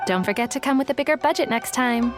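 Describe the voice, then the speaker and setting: A woman playing a businesslike, shrewd female detective in a video game, brisk and self-assured. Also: sits high, lightly acted. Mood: brisk, confident. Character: businesslike female detective agency owner, shrewd about money. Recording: game music behind voice.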